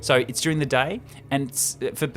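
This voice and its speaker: A man's voice: medium pitch, steady tone, moderate pace, fairly bright tone, soft background music. A man co-hosting a show, retelling a film script in an informal, enthusiastic, chatty way. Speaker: podcast co-host.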